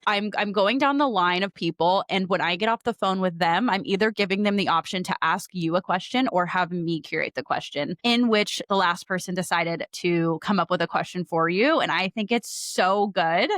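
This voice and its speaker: Chatty, excitable woman hosting, dry close sound. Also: mid-range voice, no echo. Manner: excitable, informal girl-talk with frequent "like". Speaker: podcast host. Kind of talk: casual chatty phone-call podcast.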